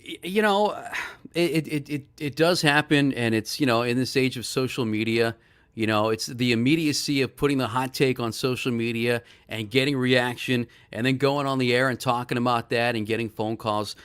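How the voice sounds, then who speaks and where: Middle-aged male guest; lively storyteller, mid-range voice. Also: mid-range voice, normal speed, clear, resonant tone. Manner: animated, excited storyteller. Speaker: guest, sports broadcaster. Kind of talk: casual interview storytelling.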